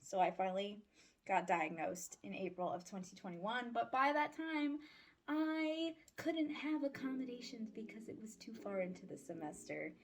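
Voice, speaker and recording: High-voiced young woman, candid and chatty. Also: expressive, normal speed. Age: 20s. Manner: candid, slangy, playfully sarcastic. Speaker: vlogger and voice-acting audio creator. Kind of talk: casual chatty life-update vlog. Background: background music.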